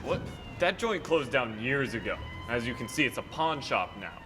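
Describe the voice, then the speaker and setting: A man's voice: mid-range voice, sing-song, normal speed, over loud music, lightly acted. A man acting a brusque small-town policeman in a radio play. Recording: very noisy stage recording.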